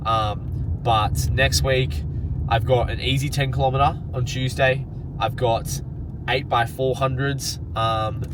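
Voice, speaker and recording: A young man vlogs with a stuffy, nasal head-cold voice, chatty and upbeat and full of "like" and "um." His pitch sits mid-range for a man. Clear car and street noise runs under him. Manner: chatty and upbeat, many fillers. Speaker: running vlogger. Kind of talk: casual vlog talk to camera. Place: in a car, then at an outdoor sports field. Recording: noisy car and outdoor sound.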